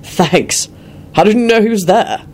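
A woman voicing a brash, irritable but gruffly affectionate man in a storybook, with blunt, emphatic delivery. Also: mid-range voice, a little slow, a little mellow, voice pushed up, lightly acted. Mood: pleased, gruff.

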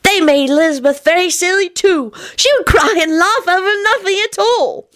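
A woman's voice: very high voice, moderate pace, a bit bright, pitched above the performer's own voice. A woman voicing a cheerful, bold, precocious little boy in a story, speaking in an earnest, knowing, childish way. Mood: happy, curious.

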